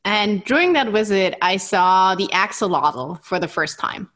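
A woman with a German accent, a native German speaker, talking with excitable enthusiasm as a scientist guest in an interview. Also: medium pitch, a little slow. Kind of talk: interview conversation.

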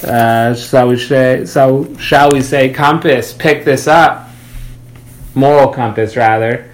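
A man with an average-pitched voice chats as a podcast co-host. He offers his opinions casually, often halting to find the right word. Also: steady tone.

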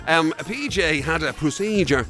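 A man's voice: a little high, lively intonation, a little slow, bright, forceful tone, soft background music. An Irish man co-hosting a radio show, chatting informally and teasing, close to a studio microphone. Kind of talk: casual chatty radio presenting.

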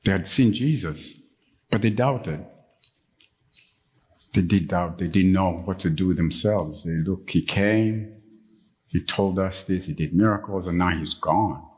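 A middle-aged pastor from Uganda delivers a storytelling sermon in a fairly low voice that leaps up high and emphatic when stirred. His words ring out with the long reverb of a church sanctuary. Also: measured pace, long pauses, a little mellow.

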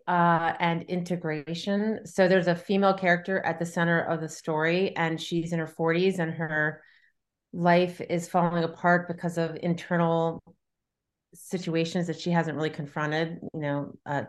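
A woman from the United States talking casually as an author guest in an interview, explaining things thoughtfully. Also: lowish voice. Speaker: debut novelist, guest.

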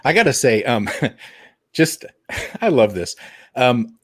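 Lively man, very varied pitch. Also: slow, no room sound, clean studio sound. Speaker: podcast host. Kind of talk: casual chat.

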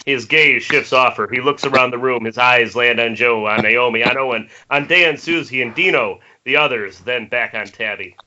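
A man's voice: medium pitch, moderate pace, few pauses, bright, forceful tone. A man reading stage directions as scripted narration in an amateur screenplay table read. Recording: dull, noisy internet call with clicks.

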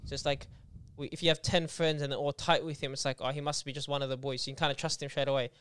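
A man's voice: on the high side, little pitch movement, very fast, a bit bright, clear, resonant tone. A young man with a mild Australian accent chatting casually on a podcast, rambling with lots of "like". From Melbourne, Australia. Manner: rambling, uses like and innit.

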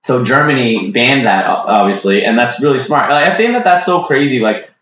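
A man's voice: medium pitch, expressive, brisk, fairly bright tone, slight background noise. A man hosting a casual chat, rambling with lots of filler words. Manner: rambling, heavy on "like" and "um". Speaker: podcast host. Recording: roomy, distant, dull.

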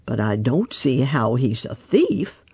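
Reader playing calm old frog, slow and mild, storybook. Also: medium pitch, husky, no room sound, heavily acted. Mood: mild wise. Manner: calm, mild, teasing questions.

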